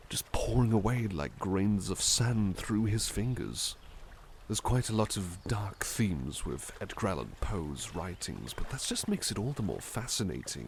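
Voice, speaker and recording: Genteel butler played by a man, unhurried ASMR delivery, creaky low-key voice, gentle and reflective, ambient noise behind. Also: exaggerated voice. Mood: relaxed, musing. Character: genteel, attentive male butler, gently enthusiastic about poetry. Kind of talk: soft-spoken ASMR roleplay with poetry readings.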